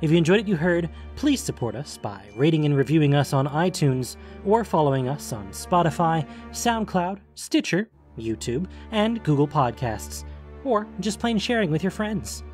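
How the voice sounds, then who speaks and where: A man's voice: on the high side, very animated, moderate pace, darkish tone, faint music behind. A man reading scripted narration for a fantasy audio drama. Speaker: narrator and podcast host.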